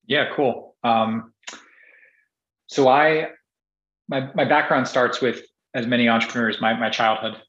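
A man's voice: medium pitch, steady tone, measured pace, long pauses, fairly bright tone, echoey room, highs cut off. A man who grew up in Singapore, speaking as a guest on a podcast interview, rambling with many restarts and fillers. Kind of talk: casual interview conversation.

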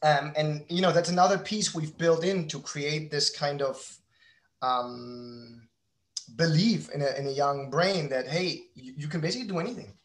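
A man in his thirties speaks in a fairly high-pitched voice. He answers as a guest in a casual interview, speaking candidly and wandering through his thoughts, doubling back to correct himself as he goes. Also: normal speed. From outside the United States. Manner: rambling, self-correcting, candid. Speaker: guest, company founder and father.

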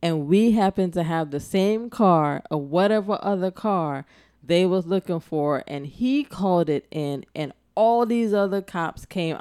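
A woman with a lowish, smooth and resonant voice tells a story in relaxed podcast chat. She acts out each line of dialogue as she goes. The sound is dry and close to the mic. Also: no room sound. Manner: animated storyteller acting out dialogue. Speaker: podcast co-host.

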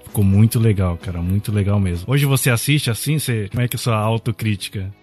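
A man's voice: on the low side, quick pace, a little mellow, husky, faint music behind. A man hosting a podcast, chatting casually and backing his guest with short affirmations. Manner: encouraging, frequent affirmations. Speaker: podcast host.

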